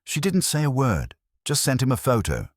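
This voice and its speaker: A man's voice: medium pitch, wildly swooping pitch, moderate pace, no echo, voice pushed up. A man voicing a dry investigator giving a report in a storybook reading. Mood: dry, teasing.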